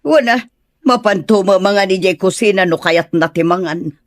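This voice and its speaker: A woman's voice: mid-range voice, moderate pace, dry recording, studio quality, natural voice. Bossy, callous mother-in-law as acted by a woman. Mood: dismissive. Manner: domineering, dismissive. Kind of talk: Ilocano audio drama acting.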